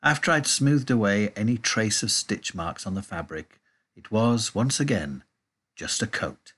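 A man reading a children's storybook aloud in a measured, expressive way. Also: on the low side, husky. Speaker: audiobook reader. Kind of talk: storybook narration.

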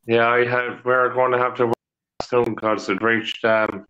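Man, Irish accent, from Ireland, bright voice, muffled call audio, casual, quick to laugh. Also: medium pitch, little pitch movement, slight background noise. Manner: laughs easily, swears casually. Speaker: video call guest. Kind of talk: casual chat.

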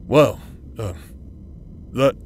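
A man's voice: medium pitch, a little mellow, slight background noise. A man acting in an intimate audio roleplay as a teasing, roguish outcast brother who plays the villain but is secretly tender, with a hesitant, chuckling drawl. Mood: amused, awkward. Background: music.

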